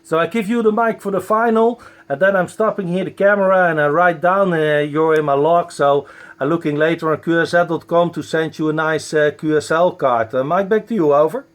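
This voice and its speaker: A man with a Dutch accent working as an amateur radio operator, enthusiastic but halting, spelling out callsigns at a close microphone. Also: on the high side. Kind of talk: ham radio contact, spelling callsigns phonetically. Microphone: close broadcast dynamic microphone.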